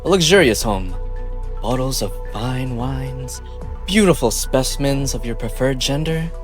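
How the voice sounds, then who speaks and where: A man acts out a scheming businessman in a game fan dub. He coaxes and insinuates theatrically at a middling male pitch. Soft music plays underneath. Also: animated, a little slow, close to an everyday voice. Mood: insinuating. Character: smooth-talking, scheming corporate businessman offering bribes. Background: background music.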